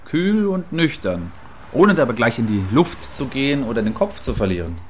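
A man's voice: medium pitch, sing-song, moderate pace, natural voice. Musing contrarian male commentator played by an actor, noisy background. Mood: musing, nostalgic. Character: provocative, philosophizing male radio commentator, nostalgic and skeptical. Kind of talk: radio play studio commentary.

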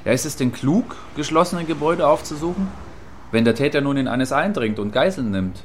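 Faint ambient noise sits behind a man acting out a male field reporter in a mock live broadcast. His voice is medium in pitch. He reports eagerly and chattily, with small hesitations and a deferential manner. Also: expressive, a little fast, close to an everyday voice. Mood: doubtful. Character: eager, apologetic male field reporter giving eyewitness account. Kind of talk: satirical radio play as live news report.

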